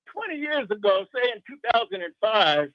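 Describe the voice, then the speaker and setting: A male caller with a very high, lively voice who keeps pressing his point and trips over his words. He comes through a muffled, dull phone line. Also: normal speed, no echo.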